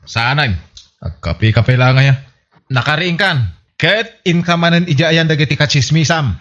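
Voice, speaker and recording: A man's voice: a little high, lively intonation, moderate pace, fairly bright tone. Guy playing a fed up husband always scolding, comedy radio drama, voice jumps all over, muffled lo-fi. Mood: suspicious, nagging. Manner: exasperated scolding, exaggerated. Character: exasperated, scolding village husband. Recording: dull, muffled lo-fi audio.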